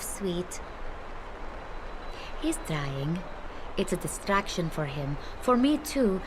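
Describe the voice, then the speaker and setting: A fairly high, very expressive female innkeeper talks unhurriedly. She is earthy, affectionate and a little wistful, in video game cutscene style, with noticeable ambience behind her. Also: noisy background. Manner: coaxing, affectionate, folksy. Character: kind, chatty, earthy tavern hostess, wistful.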